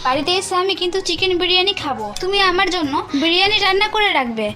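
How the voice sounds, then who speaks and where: A woman's voice: extremely high pitch, expressive, very fast, bright, forceful tone, full character voice. A woman voicing a stubborn, whiny, food-obsessed college-age daughter in a cartoon. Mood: demanding, sulky. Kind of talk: animated moral-tale cartoon dialogue. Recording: music behind the voice.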